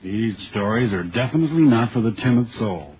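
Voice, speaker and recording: A man with a husky voice giving a calm, solemn warning as an old radio drama announcer. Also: lowish voice, a little fast. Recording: tinny old radio broadcast.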